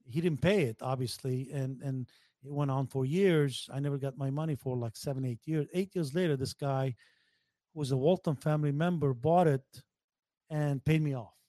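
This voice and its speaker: A man chatting casually, telling stories haltingly and repeating words for emphasis. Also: medium pitch, warm tone, no echo, studio quality. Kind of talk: casual storytelling chat.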